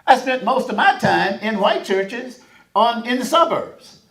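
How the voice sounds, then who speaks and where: A man's voice: extremely high pitch, expressive, a little slow, gravelly, fairly bright tone. A man from the American South, speaking as a panelist with emphatic, preacher-like build-ups. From Southern United States. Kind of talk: panel discussion. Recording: echoey conference room.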